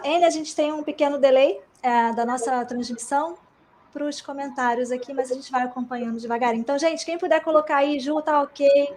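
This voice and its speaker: A woman with a Brazilian accent hosting a casual live online chat, effusive and informal as she addresses people. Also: high-pitched. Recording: online stream with faint noise.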